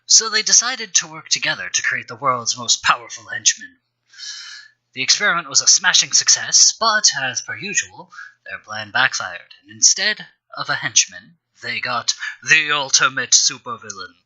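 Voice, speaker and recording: A man with a very bright, sharp-edged voice at a medium pitch reads a story aloud as its host. He narrates in a storytelling style and steps out of it for wry, self-mocking remarks about the writing. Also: very animated, normal speed, poor audio. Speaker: host reading his own old fan fiction.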